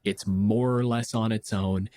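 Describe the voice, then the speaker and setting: A man's voice: fairly low pitch, steady tone, measured pace, mellow, dry recording, clean studio sound. A man in his thirties chatting casually as a podcast host, explaining things in a wry, emphatic, sweary way.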